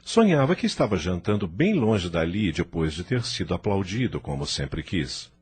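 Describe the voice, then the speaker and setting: A male narrator reads an audio novel in a low voice. His intonation is animated, rising and falling widely through the scripted prose. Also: normal speed.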